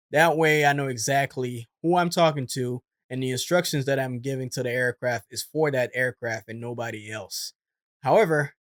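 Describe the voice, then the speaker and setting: A man's voice: mid-range voice, fairly bright tone, no echo, clean studio sound. A man giving casual, conversational explanatory commentary as a host, in an informal manner. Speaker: host, former military air traffic controller.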